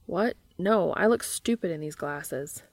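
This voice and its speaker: Woman reading as a nervous, grumbling male bookseller, low and creaky. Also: expressive, a little slow, no room sound. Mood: grudging. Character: nervous, self-conscious male bookseller in a romance story.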